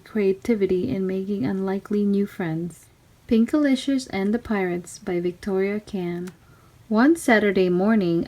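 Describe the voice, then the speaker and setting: A woman narrating a children's storybook aloud in expressive, clearly separated phrases. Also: medium pitch, low-quality recording. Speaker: storybook reader.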